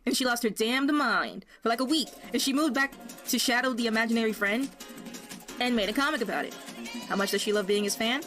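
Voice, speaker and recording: Fast-talking snide woman over music. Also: fairly high pitch, fairly bright tone. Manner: sarcastic, snide. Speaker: online video commentator. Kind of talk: casual gossip commentary. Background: background music.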